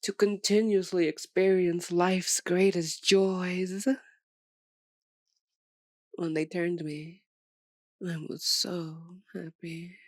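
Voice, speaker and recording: Woman acting as a slow, low-voiced sadistic vampire mistress. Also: little pitch movement, dry recording, studio quality. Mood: wistful, smug. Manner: slow, theatrical, silky and menacing, often dropping to a whisper. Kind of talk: ASMR roleplay voice acting.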